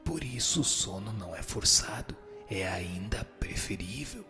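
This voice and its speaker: Solemn spirit instructor for radio drama, male actor: low, gravelly, didactic and declamatory. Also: animated, measured pace. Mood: solemn, instructive. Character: solemn spirit instructor answering questions patiently. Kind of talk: scripted radio drama dialogue. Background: music.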